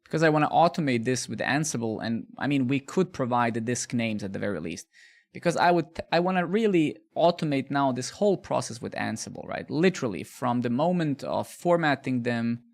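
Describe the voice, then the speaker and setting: Gravelly man. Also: medium pitch, animated, fairly quick. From Bosnia. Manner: chatty, restarts phrases, answers viewers' questions. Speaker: live coding streamer. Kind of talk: casual live-stream chat while coding.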